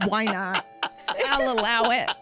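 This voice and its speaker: A woman running a tabletop game as game master, chatty and quick to laugh, her voice a little hoarse from talking. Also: fairly high pitch, over loud music. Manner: chatty, laughs often, thinks aloud.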